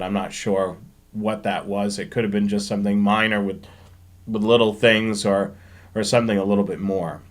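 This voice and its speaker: A man's voice: lowish voice, fairly bright tone. A man hosting a live show, chatting informally and explaining things as he answers questions, thinking aloud as he goes. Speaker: host of a railfanning live show. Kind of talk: casual live-stream question and answer chat.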